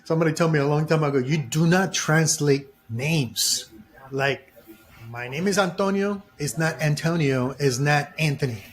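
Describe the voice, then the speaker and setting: A man hosting an interview podcast, talking in a deliberate way and repeating phrases for emphasis. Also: on the high side. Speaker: podcast host.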